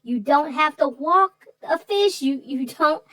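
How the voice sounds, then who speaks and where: A woman's voice: sits high, expressive, unhurried, bright, forceful tone, no echo. A woman co-hosting a children's story show, talking over the book conversationally with playful, puzzled emphasis.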